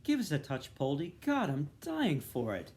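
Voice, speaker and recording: A man's voice: on the high side, wildly swooping pitch, moderate pace, darkish tone, voice pushed up. Man doing a cheeky young wife in a novel, urgent and coaxing, voice lifted up. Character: earthy, playful, impatient young wife. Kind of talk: storybook character voice.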